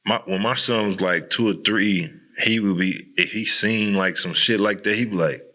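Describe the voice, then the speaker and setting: A man's voice: on the low side, moderate pace, pushed and bright. A man hosting a podcast in a casual, candid chat, talking bluntly in slangy, profanity-laced language. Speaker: podcast host.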